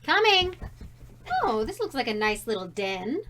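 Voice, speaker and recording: An actress playing a wry, practical wife and mother who makes teasing deadpan quips in an audio drama comedy. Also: a little high, a little slow, exaggerated voice. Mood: wry, curious.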